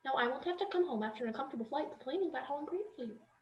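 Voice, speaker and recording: A woman's voice: high-pitched, lively intonation, rapid-fire, lo-fi sound. A young woman on stage playing a protective, confrontational, doting middle-aged mother in a student play. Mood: pleading, hurt. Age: young adult. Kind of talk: stage acting in a student one-act play. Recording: echoey stage room.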